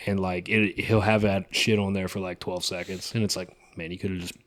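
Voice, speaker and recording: A man's voice: deep voice, little pitch movement, brisk, fairly bright tone, no echo. A young man co-hosting a movie review chat in a laid-back, slangy way. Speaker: podcast co-host.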